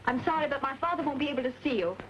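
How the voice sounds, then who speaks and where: An actress playing an anxious, reproachful young daughter in an old western. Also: female voice, high voice, animated, very fast. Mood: protective. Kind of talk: 1940s western film acting. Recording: muffled, noisy old film soundtrack.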